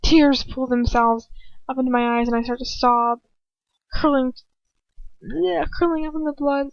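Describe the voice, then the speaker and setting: A woman with a fairly high voice gives a dramatic first-person story reading. She is informal and trips over words now and then, on a dry but somewhat dull home microphone. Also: a little slow, gravelly, dry recording. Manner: expressive, informal, stumbles over words and self-corrects. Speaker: amateur fan fiction reader.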